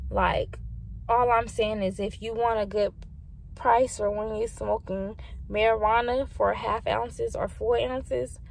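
A woman's voice: medium pitch, creaky. A slightly tipsy woman vlogger chatting casually from inside a car, rambling and swearing freely. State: a little tipsy. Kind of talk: casual chat. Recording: noisy in-car recording.

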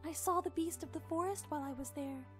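A woman voicing a timid, earnest young cartoon pony princess, gentle, polite and a little hesitant. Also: very high voice, fast. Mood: hesitant, confiding. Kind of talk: animated fan drama acting. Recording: music under the voice.

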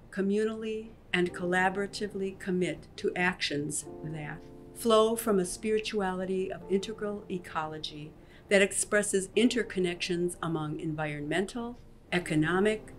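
Lower-pitched older American woman, formal reading. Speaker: religious sister. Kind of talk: scripted reading of a formal statement. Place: bright indoor room with large windows. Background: soft guitar music.

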